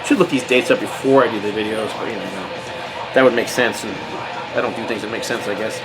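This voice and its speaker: A man's voice: fairly low pitch, fairly even intonation, a little fast, loud music behind the voice, poor audio. An American man casually showing records from his collection, rambling with lots of fillers and restarts. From United States. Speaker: metal record collector vlogger. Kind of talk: casual record show-and-tell.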